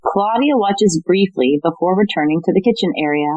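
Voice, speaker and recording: A woman's voice: on the low side, lively intonation, moderate pace, mellow, no room sound, dull audio. A woman narrating an audio play, reading scripted stage directions.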